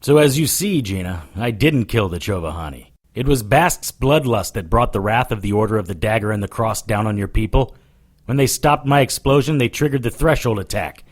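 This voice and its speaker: A man playing a hard-boiled private investigator in an audio drama, dry and deadpan. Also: fairly low pitch, a little fast. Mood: defiant. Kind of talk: audio drama narration and dialogue.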